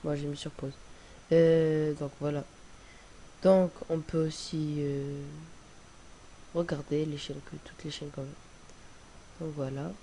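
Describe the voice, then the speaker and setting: Young guy with a cold, slightly high and mellow, rambling tutorial voice-over, faint hiss. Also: flat delivery, slow, pauses often. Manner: rambling, hesitant, many fillers and restarts. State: has a cold. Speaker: tutorial presenter. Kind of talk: casual screen-recording tutorial. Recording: faint hiss on home recording.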